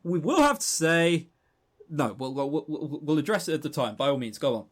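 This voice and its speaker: Higher-voiced man, halting and jokey. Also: lively intonation. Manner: halting, stammering repetitions. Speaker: podcast co-host. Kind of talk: casual chat.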